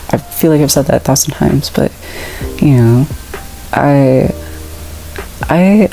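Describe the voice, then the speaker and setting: Young woman, deep voice, mellow tone, casual reflective vlog, many fillers, faint background music. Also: poor audio. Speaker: vlogger. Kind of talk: candid personal vlog. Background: soft background music.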